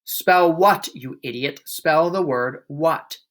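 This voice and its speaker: A man voicing a loud, bullying female headmistress who barks and sneers. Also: on the high side, measured pace. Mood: scornful shouting. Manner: barking, scornful.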